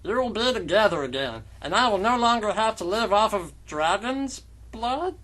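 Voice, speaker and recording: A man voicing a sinister, commanding villain spirit in a comic fanfiction reading, with drawn-out, menacing delivery. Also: high-pitched, expressive, a bit bright, pitched above the performer's own voice. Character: sinister disembodied villain face, male, commanding.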